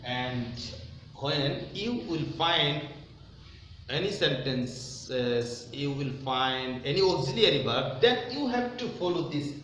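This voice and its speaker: A man with a fairly high-pitched voice gives a classroom-style grammar lesson. He is emphatic and instructional, repeating key phrases. The room echoes strongly, with faint noise behind him. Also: expressive, takes it slowly, fairly bright tone. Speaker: English grammar teacher.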